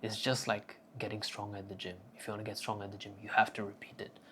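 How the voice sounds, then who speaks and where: A man's voice: lowish voice, completely flat delivery, quick pace, pushed and bright, slight background noise. A young man giving casual self-improvement advice in a cocky, profane, joking manner. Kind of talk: casual crude advice monologue.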